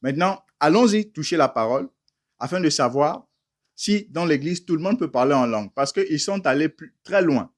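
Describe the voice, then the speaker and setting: A man's voice: on the high side, expressive, normal speed. A man preaching a teaching sermon, emphatic and firing rhetorical questions at listeners he calls beloved brothers.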